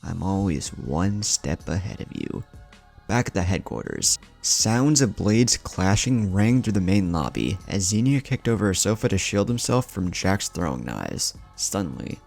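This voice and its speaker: A man's voice: deep voice, gravelly, darkish tone. A man narrating a scripted fan fiction story.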